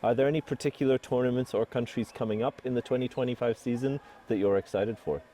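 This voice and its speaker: Mellow-voiced male podcast host. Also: medium pitch, quick pace. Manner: easygoing, affirming questions. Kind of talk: casual interview.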